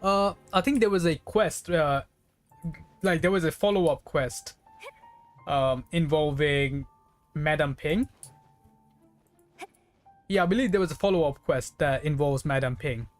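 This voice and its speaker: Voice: mid-range voice, a little slow, pauses often. Chatty game streamer, music behind. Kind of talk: casual live game commentary.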